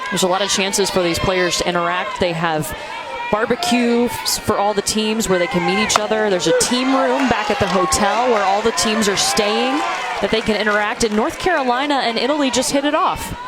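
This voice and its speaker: A man calling a youth softball game live, lively and rising with the action. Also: sits high, moderate pace, hardly any pauses. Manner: lively, calls plays with rising excitement. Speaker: play-by-play commentator. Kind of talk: live sports commentary. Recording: outdoor stadium with loud crowd noise.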